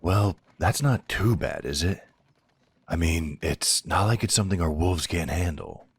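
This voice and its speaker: Male actor as blunt, loyal werewolf lieutenant, fantasy audio drama. Very low, raspy voice, sardonic and defiant. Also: animated, measured pace, dry recording, heavily acted. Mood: casual confidence. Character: loyal, blunt, defiant werewolf right-hand man to a duke.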